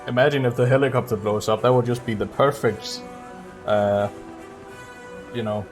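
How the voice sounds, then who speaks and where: A man's voice: mid-range voice, fairly even intonation, moderate pace. A man with a mid-range voice commentates his own speedrun on a livestream. He talks casually and chattily, with the odd swear word. Game music plays behind him. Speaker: speedrunner streaming gameplay.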